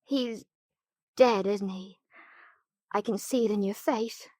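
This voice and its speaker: An actress playing a devoted, anxious young fiancée in a melodramatic 1940s radio horror play. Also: female voice, medium pitch, takes it slowly, dark tone. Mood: tearful, fearful. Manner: melodramatic, breathless pleading. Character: anxious, devoted young bride-to-be, pleading and tender.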